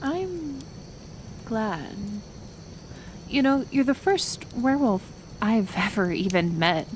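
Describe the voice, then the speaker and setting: Actress doing a kind forest healer lady, soft n hesitant, takes her time talking, noisy ambience behind her. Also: a little high, animated. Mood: earnest, confiding. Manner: soft, hesitant, soothing, with unhurried pauses. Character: gentle, stubbornly kind woman healer living in the woods. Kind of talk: roleplay audio acting, intimate one-sided dialogue.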